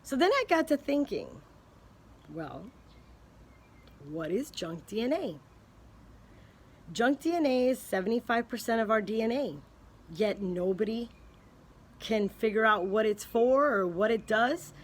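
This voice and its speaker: A woman vlogger thinking aloud in a casual, earnest way, pausing and restarting as she speculates. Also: a little high, animated. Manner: unhurried, thinking aloud with many pauses and restarts. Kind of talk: casual spoken musing to camera.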